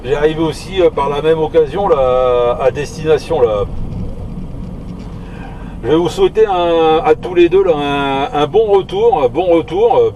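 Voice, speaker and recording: A man with a slightly high voice talks casually on CB radio from a car. He hesitates, stretches words and repeats his phrases. The sound is dull and a little distant from the mic. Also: normal speed, dark tone. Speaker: CB radio operator. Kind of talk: casual two-way radio chat. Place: inside a car outdoors. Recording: muffled, band-limited, noisy car, distant mic.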